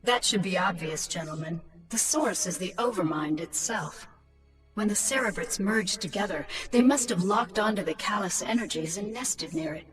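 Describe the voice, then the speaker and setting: A woman's voice: mid-range voice, moderate pace, distant mic, lightly acted, low-quality recording. A woman playing a cool, sardonic commander in a video game, with a dry, condescending manner. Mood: cool, impatient. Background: music.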